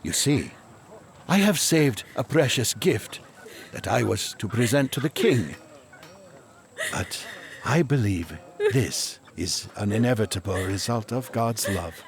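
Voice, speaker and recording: An actor playing an aged, devout Magian seeker, gentle but resolute, speaking in solemn, halting oratory in an audio drama. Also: male voice, lowish voice, animated. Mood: grave, firm.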